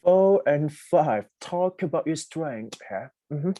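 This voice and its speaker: A woman teaching an online English class, running mock job interviews and murmuring short acknowledgments over a video call. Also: low voice. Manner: brisk, steady murmured acknowledgments.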